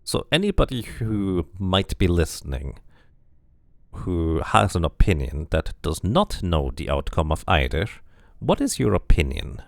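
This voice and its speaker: Brief: low-voiced male streamer, gravelly and lively, musing casually between dialogue choices. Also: measured pace, no room sound. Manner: musing, chatty, thinks aloud to viewers. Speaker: game streamer. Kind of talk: casual let's-play commentary.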